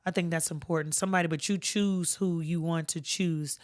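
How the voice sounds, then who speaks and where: Clear, strong-voiced middle-aged woman, plain-spoken. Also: lowish voice, fairly even intonation, a little fast. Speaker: podcast guest, army first sergeant. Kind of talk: conversational interview.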